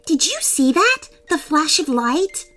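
A woman's voice: sits high, big pitch swings, takes it slowly, pushed and bright, faint music behind, pitched above the performer's own voice, full character voice. A woman voicing an eager, insistent young girl in a children's story.

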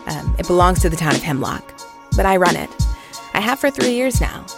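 An actress playing a shy, guarded small-town librarian, confiding and reflective in an audio drama monologue. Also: female voice, fairly low pitch, very animated, fairly quick, gravelly. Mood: quietly content. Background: loud music.

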